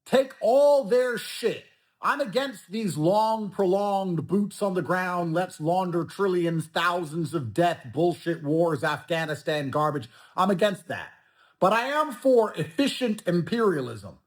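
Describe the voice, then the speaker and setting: Brash ranting man, high lively voice. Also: measured pace. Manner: crude, aggressive, brash. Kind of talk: podcast rant.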